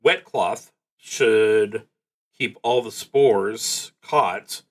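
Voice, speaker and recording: Slow-talking man musing through a solo game. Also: lowish voice. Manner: halting, musing. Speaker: solo tabletop roleplaying streamer. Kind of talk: livestreamed solo game play, reading prompts aloud and thinking out loud.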